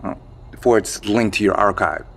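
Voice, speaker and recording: A guarded survivor who knows more than he says. His voice is on the low side, and he talks casually and a little hesitantly, in natural audio drama style. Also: normal speed, a bit bright. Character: weary, guarded male survivor with secret knowledge. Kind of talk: audio drama acting, conversational.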